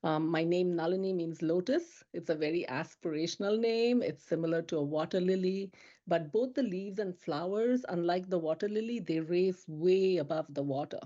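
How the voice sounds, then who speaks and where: A woman's voice: lowish voice, moderate pace, dark tone, lo-fi sound. A woman speaking as a panelist, earnest and reflective. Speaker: diversity program manager, panelist. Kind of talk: panel discussion.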